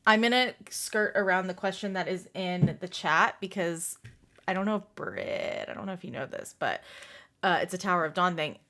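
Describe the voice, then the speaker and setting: A woman's voice: on the low side, expressive, normal speed, bright, forceful tone. Woman hosting a casual book chat. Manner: informal, says "like" often. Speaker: book discussion host. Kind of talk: casual group discussion.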